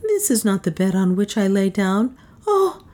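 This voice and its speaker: Woman acting a high-pitched, distraught young heroine. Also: big pitch swings, dark tone, natural voice. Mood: frightened, dazed. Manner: wailing and indignant, drawn-out cries. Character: distraught, accusing young woman, fearless and passionate. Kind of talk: dramatised audiobook dialogue.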